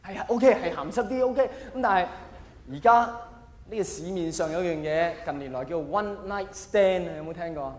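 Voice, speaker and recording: A man with a Hong Kong accent performing a self-mocking, mock-earnest stand-up comedy monologue in an animated way. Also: high-pitched, moderate pace. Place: large live venue with long reverb. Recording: echoey hall, faint noise.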